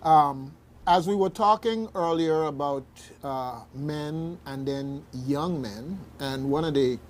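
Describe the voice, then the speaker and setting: Talk show host: male, highish pitch, halting casual delivery, light background noise. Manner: halting, rambling, repeats phrases.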